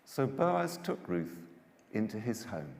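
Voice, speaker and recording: A man performing a dramatised scripture narration in a theatrical, declamatory manner with deliberate pauses, live in a large church hall. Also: fairly low pitch, big pitch swings, creaky, a little mellow. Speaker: Bible storyteller performer. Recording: echoey church hall with long reverb.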